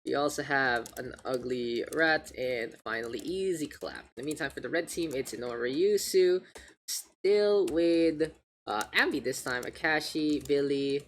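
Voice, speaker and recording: A man with a gravelly voice hosting an esports livestream, mixing casual slangy chat and game commentary. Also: mid-range voice, a little slow, a bit bright, slight background noise. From Philippines. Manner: informal, gamer slang, joking asides. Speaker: esports caster, streamer hosting the match. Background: video game sounds and announcer.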